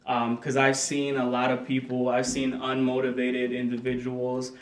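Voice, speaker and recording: A man's voice: medium pitch, monotone, moderate pace. Young man from El Salvador, roomy. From El Salvador and Honduras. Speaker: podcast guest, realtor. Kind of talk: casual interview answers. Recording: roomy sound, voice from a distance.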